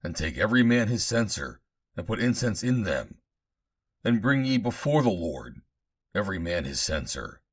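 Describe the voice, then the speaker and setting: A man's voice: on the low side, breathy edge, heavily acted. A man voicing a stern, indignant prophet in a scripture reading, declamatory and emphatic. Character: stern male Hebrew prophet, indignant and commanding.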